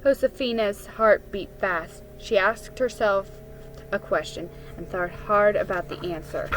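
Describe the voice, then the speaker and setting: Slow-paced woman reading a story. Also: medium pitch, slight background noise, rough recording. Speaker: storybook reader. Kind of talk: scripted dramatic story narration.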